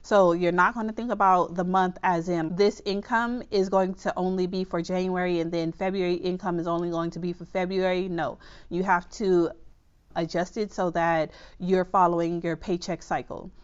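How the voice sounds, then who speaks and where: Woman, slightly stuffy and nasal, low voice, smooth tone, casual budgeting explainer, muffled audio. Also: fairly even intonation, normal speed. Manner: chatty, reassuring, checks in with "okay?". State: under the weather, slightly nasal. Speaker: budgeting channel host.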